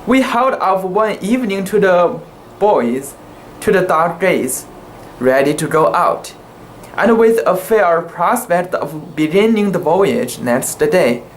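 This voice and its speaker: Slow-paced man giving a dramatic reading. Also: sits high, lively intonation, a little hiss or hum, poor audio. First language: not English. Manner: halting, careful delivery with occasional stumbles. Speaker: amateur reader. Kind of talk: dramatic reading of a sea story.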